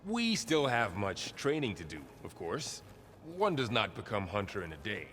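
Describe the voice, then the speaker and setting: An actor playing a patient male hunting mentor in a video game, coaching with calm instructions. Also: fairly low pitch, normal speed, big-room sound, a little hiss or hum. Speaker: hunting trainer.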